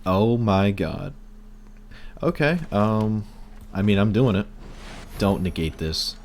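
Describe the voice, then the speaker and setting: A man streaming a card game, talking through his plays in casual commentary, hoarse and losing his voice, wry and muttering at bad luck. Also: on the low side, animated, takes it slowly. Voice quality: breaking, cracking voice.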